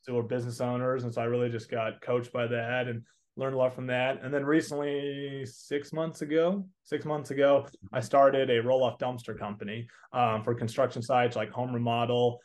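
A man's voice: mid-range voice, level tone, moderate pace, a little mellow. Young Southern-accented man, interview guest, earnest and chatty. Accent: Southern American. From Texas. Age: 20s. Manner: earnest, rambling, many restarts and fillers. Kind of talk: casual interview answers.

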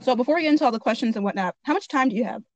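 A woman host who first reads a guest introduction and then chats casually over a remote call, bubbly and quick to laugh, a little congested. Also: fairly high pitch, expressive, darkish tone, no echo, lo-fi sound. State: slightly congested. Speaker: podcast host.

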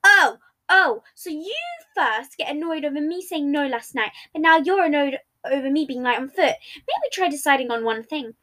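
A woman's voice: sits high, expressive, moderate pace, bright, forceful tone, pitched above the performer's own voice. A woman voicing a cornered, salty man who lies by habit, defensive and uneasy with a sarcastic edge, in a dramatic audio story. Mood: salty, arguing.

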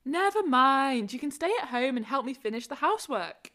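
A woman reading scripted everyday dialogue in an English lesson, calm and matter-of-fact. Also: sits high, normal speed.

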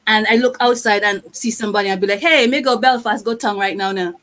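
A woman's voice: mid-range voice, fast talker, fairly bright tone, slight background noise. A woman on an online panel telling anecdotes, giggling often and chiming in with agreement. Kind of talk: casual group chat online.

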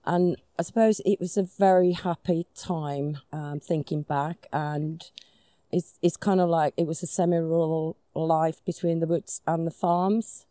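Low-voiced Finnish woman, unhurried. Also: steady tone, warm tone, no room sound, studio quality. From Finland. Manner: thoughtful, with frequent ums and restarts. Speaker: guest, society chairman. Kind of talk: conversational interview. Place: outdoors in a forest.

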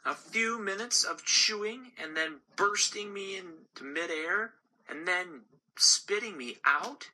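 A man's voice: pushed and bright, a little hiss or hum, heavily acted, poor audio. A man voicing a naive young male gumball who whines and frets in a raised voice. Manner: high, whining complaints.

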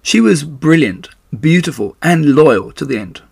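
A man voicing a gentle, devoted male pig in a children's storybook, speaking in an earnest, polite, slightly formal way. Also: a little high, lively intonation, a little slow, gravelly, slightly rough, no echo, clean studio sound, heavily acted. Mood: solemn, tender. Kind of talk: children's storybook read-aloud.

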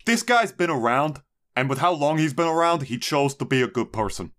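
A young man co-hosting a podcast, talking in a blunt, casual way with lots of swearing and quick asides. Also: fairly high pitch, expressive, fairly bright tone. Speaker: podcast co-host. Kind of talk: casual chat.

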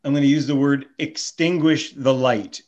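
Man, mid pitch, easygoing host, slightly muffled lo-fi mic. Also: fairly even intonation, normal speed, a little mellow. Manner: easygoing, steers the conversation. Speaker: podcast host. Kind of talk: casual chat.

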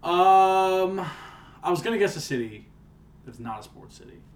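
A man co-hosting a casual podcast, joking and banter-driven, with a southern US accent, mumbling one moment and loud the next. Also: high voice, lively intonation, moderate pace. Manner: playful, jumps between mumbling and loud exclaiming. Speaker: podcast co-host. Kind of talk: casual chat.

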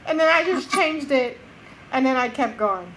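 High bright-voiced woman telling a story. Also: animated, normal speed, a little hiss or hum, low-quality recording. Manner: storytelling, laughs often. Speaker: podcast co-host and notary. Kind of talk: casual chat among friends.

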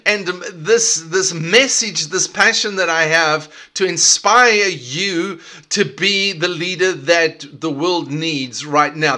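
A middle-aged South African man hosts his own leadership podcast alone. His voice sits high and bright. He talks with energy and emphasis and often repeats or restarts his phrases. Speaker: podcast host. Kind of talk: solo leadership podcast talk.

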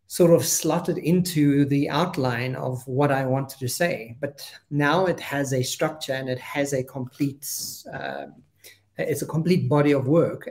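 Chatty higher-voiced male host. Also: darkish tone. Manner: rambling, enthusiastic, frequent "you know". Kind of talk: conversational interview.

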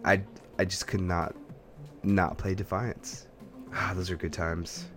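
A man's voice: deep voice, level tone, slow, a bit bright, soft background music. A man co-hosting a podcast, chatting casually about games and films in a rambling, think-aloud way. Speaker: podcast co-host.

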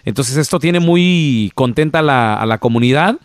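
A man's voice: medium pitch, expressive, moderate pace, no room sound, studio quality. A man hosting a casual radio talk show, chatting with guests and retelling news in a conversational way. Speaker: radio and podcast host.

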